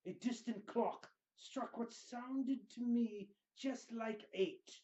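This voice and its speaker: A man voicing a pompous, theatrical wizard host who gives grandiose dramatic readings with heavy pauses. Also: very high voice, mellow, muffled recording. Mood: ominous, measured.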